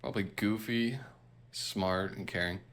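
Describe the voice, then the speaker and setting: A man in his thirties with a gravelly voice, introducing himself as a dating show contestant. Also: fairly low pitch, steady tone, a little slow, fairly bright tone. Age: 30s.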